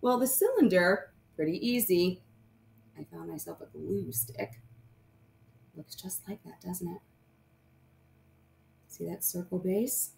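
A woman's voice: fairly low pitch, lively intonation, slow, vocal fry, pauses often, a little mellow, slight background noise. A woman teacher explaining things informally to young children, often checking in with short tag questions. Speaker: primary math teacher.

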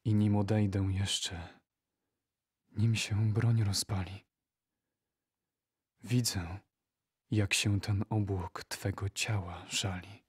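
A man reciting poetry for an audio drama in a solemn, measured manner. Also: on the low side, flat delivery, husky.

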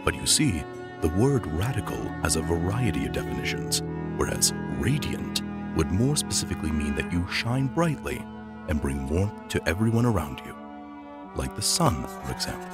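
Deep, brooding skeleton scientist father, acted by a man. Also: big pitch swings. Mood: gentle, patient. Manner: formal, deliberate, often breathy. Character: tall skeleton scientist father, weary, brooding, gently scholarly. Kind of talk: dramatic comic dub acting. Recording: loud music behind the voice.

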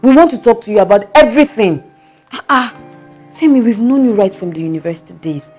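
An actress playing a concerned, insistent friend with a Nigerian accent, speaking frankly and reproachfully. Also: female voice, a little high, animated, brisk, a little mellow, breathy edge, faint music behind. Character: frank, concerned female friend confronting a man. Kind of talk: film drama dialogue.

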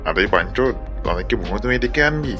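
A man acts out a thuggish ghost in a comic cartoon, with a low voice. He draws out his threats with bullying, taunting menace. Music plays clearly behind him. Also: animated, quick pace, natural voice. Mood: menacing. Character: fake ghost, bullying masked thug demanding gold. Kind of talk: amateur comic cartoon dub. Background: background music.

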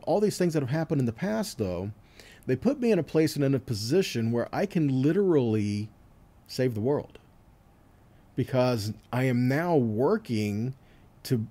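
A man delivers an informal scripture teaching in a relaxed, warm-toned voice. His pitch is lively and varied as he moves between reading and explaining. He talks conversationally, checking in with short rhetorical questions. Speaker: Bible teacher giving a weekly scripture teaching.

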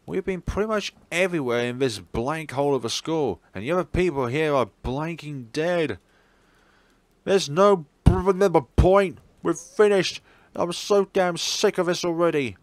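A man's voice: fairly high pitch, unhurried, dry recording, pitched above the performer's own voice, close to an everyday voice. A man voicing a frightened, bitter teenage schoolboy in a visual novel, agitated and sarcastic. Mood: angry, panicked. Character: distraught, bitter teenage schoolboy lashing out in fear.

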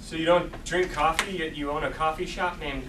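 A man's voice: a little high, steady tone, moderate pace, natural voice. A man playing an eager but uneasy graduate starting as a barista in a comedy table read. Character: eager, uneasy young male barista graduate, twenty-two. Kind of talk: comedy table read before a live audience. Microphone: far camera. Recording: distant, echoey stage recording with background noise.

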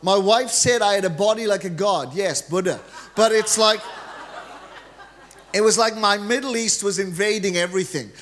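A middle-aged man with a Welsh accent delivers an energetic, humorous sermon, his voice sitting notably high for a man. He speaks into a handheld mic on a large church stage. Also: normal speed, a little hiss or hum. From Wales. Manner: energetic, joking preacher, gestures freely while pacing the stage. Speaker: guest preacher.

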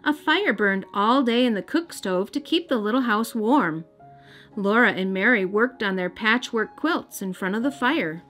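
A woman's voice: fairly high pitch, expressive, a little slow. American woman reading a children's story over music. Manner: gentle, careful storytelling for young children. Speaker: children's storybook reader and host. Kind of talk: scripted read-aloud narration. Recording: music under the voice.

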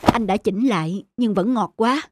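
A man voicing a gentle, despondent cartoon father who blames himself, with music under him. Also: high-pitched, moderate pace, no echo. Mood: dejected, self-blaming. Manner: heavy-hearted, self-reproaching. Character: despondent, gentle painter father losing his sight. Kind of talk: dubbed cartoon dialogue.

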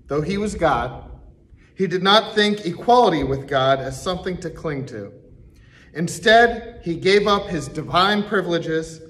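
A middle-aged man, a pastor, reading scripture aloud in a church sanctuary, slowly and deliberately with emphatic pauses. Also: fairly high pitch, a bit bright.

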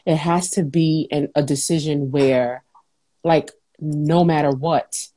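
A woman's voice: low voice, slow pace. A woman in her thirties speaking emphatically and casually as a motivational interview guest on a video call. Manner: animated, emphatic, conversational. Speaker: guest, talk show host and entrepreneur. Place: indoors at home on a video call. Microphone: wired earbuds on a video call.

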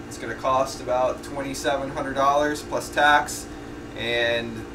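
A man in his thirties talks plainly through a do-it-yourself repair on his boat, wearing a head-mounted camera. His voice sits at an ordinary middle pitch. A clear steady hum of machinery fills the cramped engine room around him. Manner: plain, matter-of-fact explaining while working. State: sweating and out of breath. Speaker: boat owner showing a repair. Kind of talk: casual DIY narration.

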